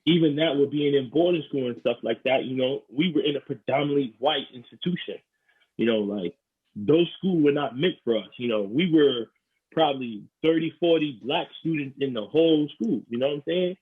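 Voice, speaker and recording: A man's voice: mid-range voice, moderate pace, husky. A young man from New York hosting a podcast, talking earnestly and often saying "you know". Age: young adult. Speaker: podcast host. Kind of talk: podcast conversation. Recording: tinny phone-like line.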